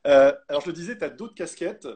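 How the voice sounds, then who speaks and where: A man's voice: on the high side, lively intonation, fairly quick, darkish tone. A man hosting a casual live interview, reading viewer questions with many restarts and hesitations.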